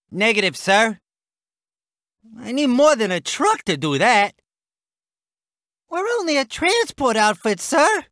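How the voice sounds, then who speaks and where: An actor playing a brash American army truck driver in a video game, with punchy, clipped, slangy soldier delivery. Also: male voice, extremely high pitch, pushed and bright, no room sound, clean studio sound, heavily acted. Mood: grumbling. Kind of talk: video game unit barks.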